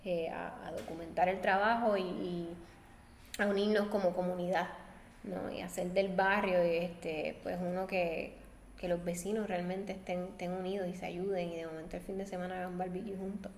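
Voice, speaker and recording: A woman speaks as an interview guest, earnest and reflective, pausing to find the right words. Her voice sits at an ordinary pitch and rings in a roomy space with a long tail of reverb. A faint hum runs underneath. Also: little pitch movement. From Puerto Rico. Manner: thoughtful, searching for words, earnest. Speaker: guest designer. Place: seated at a table with coffee.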